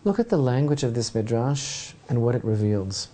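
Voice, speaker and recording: A man's voice: medium pitch, sing-song, moderate pace, dark tone. A man, a rabbi, giving a Torah lesson and retelling an ancient legend with dramatic storytelling and asides to his listeners. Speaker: rabbi, teacher.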